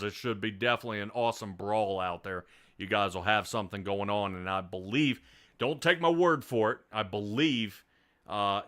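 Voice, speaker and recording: A man's voice: fairly low pitch, sharp tone. A man hosting a sim racing broadcast, interviewing a driver and rambling upbeat commentary with many restarts. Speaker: race broadcast host.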